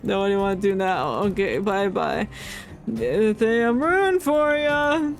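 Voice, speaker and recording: Slow-paced, playful woman game streamer. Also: mid-range voice, animated, soft background music. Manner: playful, mock-earnest asides. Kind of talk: casual reactive gameplay commentary.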